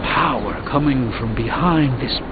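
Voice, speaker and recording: A man's voice: medium pitch, measured pace, heavy background noise, close to an everyday voice. A man playing a composed, learned sorcerer in a video game, with formal, articulate, dry delivery. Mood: intrigued. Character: composed, learned adult male sorcerer, dry and self-assured. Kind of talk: video game dramatic dialogue. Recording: tinny band-limited game audio under loud music.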